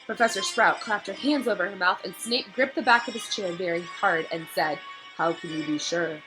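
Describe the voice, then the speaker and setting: A woman's voice: mid-range voice, fairly quick, over music, rough recording. A woman reading a children's book aloud, with casual asides to listeners. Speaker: reader of a children's read-aloud, addressing listeners.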